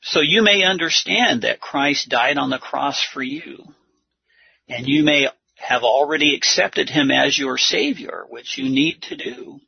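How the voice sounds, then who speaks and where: A man preaching and teaching a Bible lesson, speaking in deliberate, earnest short phrases with a husky, gravelly voice. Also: a little high, expressive, dry recording. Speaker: preacher leading a church lesson. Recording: muffled lo-fi audio.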